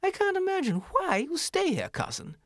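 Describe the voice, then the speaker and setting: A man voicing a snobbish, bragging male city mouse in a storybook tale, speaking with fussy, drawn-out disdain. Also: very high-pitched, wildly swooping pitch, fairly bright tone, no room sound, studio quality, pitched above the performer's own voice, full character voice. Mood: disdainful.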